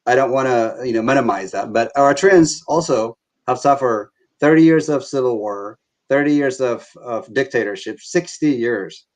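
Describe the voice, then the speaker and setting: Halting man moderating a video call. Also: mid-range voice, darkish tone. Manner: halting, filler-heavy, earnest. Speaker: discussion moderator. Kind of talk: casual online panel discussion.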